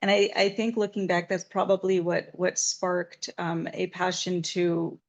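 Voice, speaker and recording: A woman's voice: lowish voice, level tone, measured pace, lo-fi sound. A woman from Southern Nevada, a massage therapist, telling her story in an interview in an earnest, reflective way.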